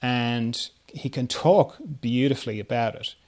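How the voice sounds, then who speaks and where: Halting, casual male interviewer. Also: medium pitch, darkish tone. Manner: halting, circles toward his question. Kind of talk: casual conversation.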